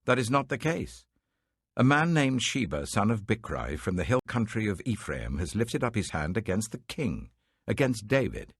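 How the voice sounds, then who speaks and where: Man doing a hard army commander in a bible story, forceful, swooping delivery, husky. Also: lowish voice, sing-song, normal speed, no echo, clean studio sound, pitched above the performer's own voice, full character voice. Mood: emphatic, firm.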